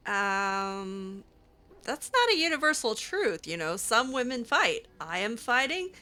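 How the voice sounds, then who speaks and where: A woman's voice: fairly high pitch, very animated, a little slow, sharp tone. A woman hosting a game roleplay, talking back to characters with sarcastic, hesitant remarks and laughs. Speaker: roleplay game player and channel host.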